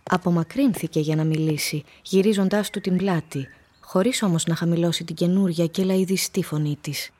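A woman narrator reading scripted literary prose for a radio play in a measured, storytelling manner. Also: on the low side, steady tone, dark tone.

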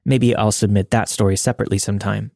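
A man reading a listener's story as scripted podcast narration, in a measured, matter-of-fact way. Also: on the low side, fairly even intonation, creaky, mellow, no echo, studio quality.

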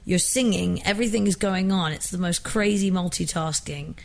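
A woman's voice: lowish voice, normal speed, creaky. A young woman from England with an accent blended with American, chatting casually as a singer guest in an interview, often restarting her sentences. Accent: mixed English and American.

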